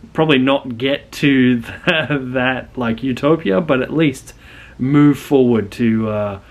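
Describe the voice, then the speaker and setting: Australian male host, young adult, mid-pitched, chatty and earnest, loose unscripted delivery. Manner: rambling and earnest, many fillers and restarts. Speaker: channel host. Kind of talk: casual talking-to-camera channel intro.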